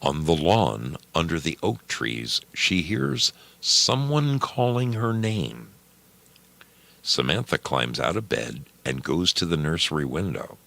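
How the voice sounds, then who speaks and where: Very deep, gravelly male storyteller. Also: sing-song, a bit bright, no room sound. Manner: deliberate, suspenseful phrasing. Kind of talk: dramatic scripted story narration.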